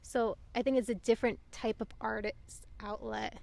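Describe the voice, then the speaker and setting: A woman's voice: fairly high pitch, normal speed, vocal fry, darkish tone. A young woman hosting a casual podcast chat outdoors on a couch, loose and chatty with lots of fillers. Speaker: podcast host.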